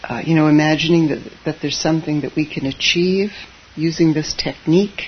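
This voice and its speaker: A soft-voiced Zen teacher speaks to a gathered audience in a meditation hall, often pausing on fillers and slipping in dry little jokes. The voice sits at a middle pitch and drifts quiet and low. The recording is dull and lo-fi, with a faint hiss underneath. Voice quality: soft voice that tends to drop low. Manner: hesitant, with frequent fillers and wry asides. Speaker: Zen teacher giving a dharma talk. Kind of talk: gentle, informal dharma talk.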